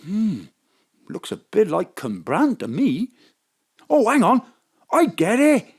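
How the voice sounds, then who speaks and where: A man playing a gullible, anxious husband and sidekick in an audio drama, excitable and confused. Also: sits high, slow, slightly rough, exaggerated voice.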